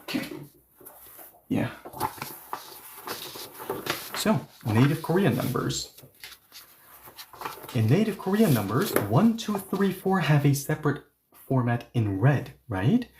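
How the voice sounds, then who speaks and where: A man's voice: a little high, sing-song, noisy background. Man explaining patiently, full of "okay, so". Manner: switches between explanation and slow model readings. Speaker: Korean language teacher. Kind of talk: online language lesson.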